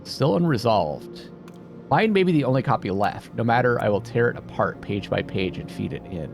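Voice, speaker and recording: A man doing casual let's play commentary, thinking out loud and reading game text aloud. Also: medium pitch, expressive, normal speed, creaky. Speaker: video game player and host. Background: atmospheric game music.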